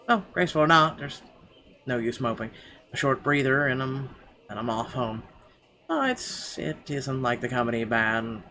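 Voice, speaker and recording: A man narrating a visual novel as a game streamer, reading expressively with small stumbles and asides. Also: mid-range voice. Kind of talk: reading visual novel text aloud, let's play. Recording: game music behind the voice.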